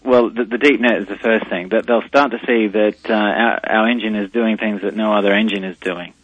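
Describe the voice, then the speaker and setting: A deep-voiced Australian man answers questions down a muffled phone line, his voice husky and low. He talks in a hesitant way, full of "uh" and restarts. The line is dull, close and dry. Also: steady tone, a little fast, no room sound. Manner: hesitant, many fillers and restarts. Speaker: company CEO, interview guest. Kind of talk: phone interview on radio. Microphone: telephone line.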